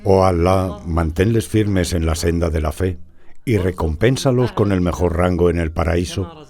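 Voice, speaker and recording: A man's voice: low-pitched, normal speed, dark tone. A man narrating a documentary program in a solemn, reverent scripted manner. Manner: solemn and reverent, with devotional phrases.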